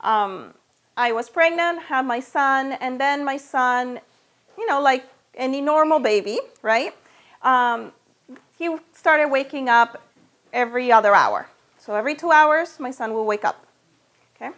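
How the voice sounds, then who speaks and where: A woman's voice: high voice, a little slow, a bit bright. A middle-aged woman from Venezuela with a Spanish accent gives an informal personal talk to a small group, conversationally checking in with listeners. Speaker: natural health practitioner giving a talk.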